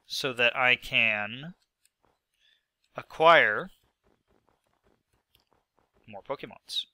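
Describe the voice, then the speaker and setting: A man's voice: mid-range voice, very slow, pushed and bright, dry recording. Stuffy-nosed man narrating a game. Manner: rambling, self-mocking, lots of fillers. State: sick with a stuffy nose. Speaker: gaming video host. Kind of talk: casual gameplay commentary.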